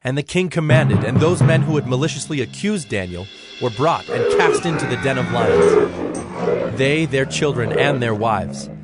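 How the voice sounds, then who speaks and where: Male scripture narrator over loud music. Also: mid-range voice, big pitch swings, measured pace. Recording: loud music and roaring lion effects behind.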